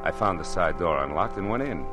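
An actor playing a wry, world-weary private detective in punchy 1940s radio noir style. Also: male voice, low voice, lively intonation, brisk, music in the background. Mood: wry, brooding.

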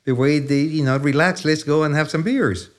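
Chilean guy in his 60s, explains brain stuff, lots of "you know" and "yeah?", lively and chatty. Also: fairly high pitch, moderate pace. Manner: animated, repeats "yeah?" and "you know", stammers on restarts. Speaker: neuroscientist guest. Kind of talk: conversational explanation.

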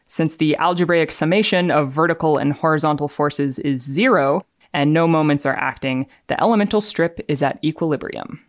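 A woman's voice: low voice, normal speed, no echo. A woman reading aloud and explaining things as an engineer, in a dry, matter-of-fact manner. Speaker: engineer and podcast contributor.

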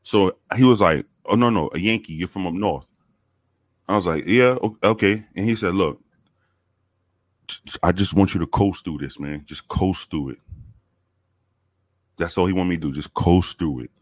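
A man from the Bronx with a very deep voice, telling profane, animated stories with many restarts in a casual interview. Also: moderate pace, long pauses, dry recording. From the Bronx, New York. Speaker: guest, former police officer.

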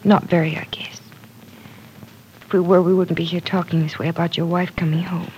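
An actress playing an earnest, gentle young woman caught up in love troubles in an old radio drama, soft and breathy at times. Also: fairly low pitch, little pitch movement, a little fast, slight background noise, natural voice, poor audio. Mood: pleading. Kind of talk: 1940s radio acting.

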